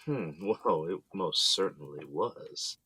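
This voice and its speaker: A man playing a teasing, jokey husband and father in an audio drama, with a husky voice. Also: deep voice, lively intonation, slow, sharp tone, exaggerated voice. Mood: playful. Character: playful, teasing middle-aged husband and proud father.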